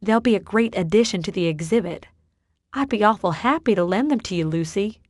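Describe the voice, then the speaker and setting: A woman voicing a folksy woman who keeps her family's old stories and warns of forces in the dark. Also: mid-range voice, expressive, fairly quick, no room sound, clean studio sound, lightly acted. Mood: ominous. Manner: folksy, ominous. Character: folksy woman steeped in local ghost lore.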